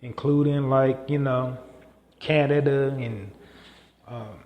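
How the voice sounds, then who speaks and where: A man's voice: medium pitch, fairly even intonation, slow, a little mellow. A man hosting a podcast solo, talking in a loose, rambling way with many fillers and restarts. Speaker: podcast host. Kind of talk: casual solo monologue. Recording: echoey room with long reverb.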